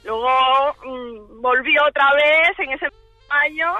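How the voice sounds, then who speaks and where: Bright, high female caller on tinny phone line. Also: slow, no room sound, faint music behind. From Dominican Republic. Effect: telephone. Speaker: radio caller. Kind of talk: casual personal storytelling.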